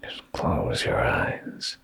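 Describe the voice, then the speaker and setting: A man in an intimate boyfriend ASMR bedtime style, with a deep, gravelly, breathy voice, hushed and soothing, often slipping into whispers close to the microphone. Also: drawn-out words. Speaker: ASMR streamer reading bedtime stories.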